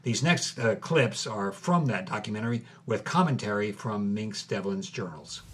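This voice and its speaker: A man's voice: lowish voice, moderate pace. A man hosting and narrating a podcast in a dry, matter-of-fact scripted documentary style. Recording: clear music bed behind the voice.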